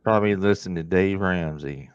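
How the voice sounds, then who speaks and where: A man's voice: deep voice, moderate pace, clean tone, no echo. An older man with an American accent, talking casually as a caller in a chat. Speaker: caller, truck driver. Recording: dull lo-fi call audio.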